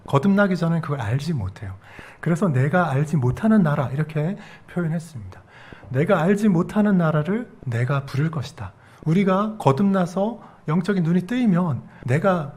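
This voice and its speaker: Husky Korean pastor with regional dialect. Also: male voice, on the high side, a little mellow. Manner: explains verses step by step, asks the congregation questions. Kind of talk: expository sermon.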